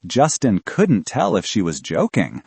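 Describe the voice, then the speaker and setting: A man reads a novel as an audiobook narrator. His lowish voice is husky and very expressive in pitch, recorded close and dry. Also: measured pace, no echo. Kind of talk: scripted fiction narration.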